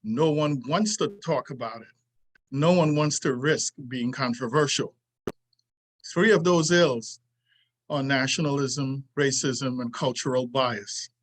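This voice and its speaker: An older man reads his own essay aloud. His voice sits at an ordinary middle pitch, and his delivery is earnest and deliberate, with pauses and lines picked up again. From Trinidad. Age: 60s. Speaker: martial arts teacher. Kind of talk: reading a prepared essay aloud.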